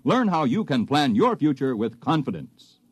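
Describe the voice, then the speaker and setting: A man's voice: mid-range voice, sing-song, moderate pace, a little hiss or hum. A man reading a 1950s radio commercial in an upbeat, persuasive manner. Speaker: commercial announcer.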